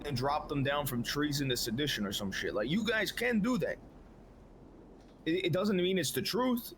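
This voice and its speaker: A man's voice: on the high side, animated, normal speed, fairly bright tone. Man, stammering restarts, blunt talk, casual swearing, faint hum behind him.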